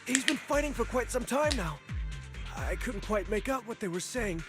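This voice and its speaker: A woman's voice: a little high, expressive, moderate pace, over music, lightly acted. A woman voicing a small, anxious game companion creature, speaking earnestly and urgently. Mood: worried urgent. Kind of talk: video game voice acting.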